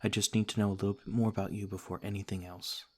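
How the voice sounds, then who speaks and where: A man playing a courteous, cautious noble vampire lord in an ASMR roleplay, speaking in a formal, gentle, measured way. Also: fairly low pitch, level tone, close to an everyday voice. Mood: calm, guarded courtesy.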